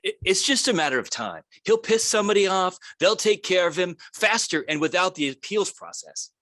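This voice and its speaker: A man's voice: high-pitched, expressive, moderate pace, a bit bright, no echo. A callous conspirator, played by a man in a table read. The voice swings up and down with casual scorn and sounds close and dry. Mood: scornful. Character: callous, dismissive male conspirator at a dinner party.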